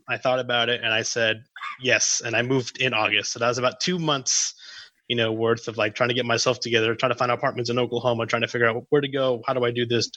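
Bright-voiced man in his twenties. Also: medium pitch, no echo, rough recording. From Tennessee. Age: 20s. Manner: chatty, rambling storyteller with "you know" and "um". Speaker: guest. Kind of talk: casual conversation.

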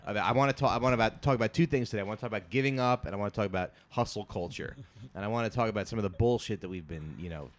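A man's voice: lowish voice, rapid-fire. A man hosting a podcast in a loose, joking chat, often laughing at his own bits. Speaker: podcast host. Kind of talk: casual chat.

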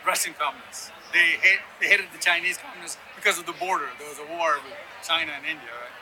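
A man's voice: on the high side, fairly even intonation, quick pace, a crowd in the background, rough recording. A man from Ghana and East Africa giving opinionated political explanations in an interview, often checking in with "right?". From born in Ghana, grew up in Sudan, Yemen and Ethiopia. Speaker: interview guest, conservative activist.

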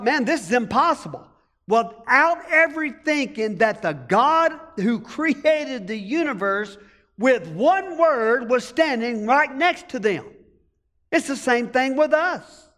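A man's voice: very high-pitched, a bit bright, big-room sound. A male pastor with an American country accent, preaching a folksy sermon and calling on the congregation to answer back. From rural United States. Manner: folksy, storytelling preacher asking the congregation to respond.

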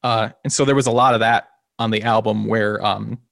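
A man who sings and plays guitar in a band, talking in a slightly low voice with a touch of nasal tone. He rambles easily through his answers, doubling back on words and restarting sentences. The sound is dry and close. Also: a little fast, vocal fry, no room sound. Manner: relaxed and rambling, with many restarts and small laughs. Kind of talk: casual interview conversation.

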